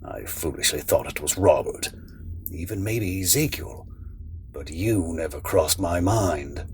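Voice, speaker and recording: Deep-voiced, sinister riddler. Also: male voice, a little slow, a little hiss or hum. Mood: knowing, cryptic. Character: cryptic, sinister man speaking in riddles. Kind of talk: audio drama acting.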